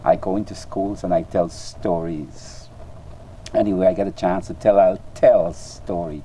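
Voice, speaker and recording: An older Guyanese man with a very low, mellow voice answers interview questions. He speaks earnestly and proudly, and often repeats a word while he finds his next thought. A faint hum sits behind him. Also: steady tone, moderate pace, rough recording. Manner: earnest, proud, repeats words as he searches for them. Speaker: storyteller and clown interviewed.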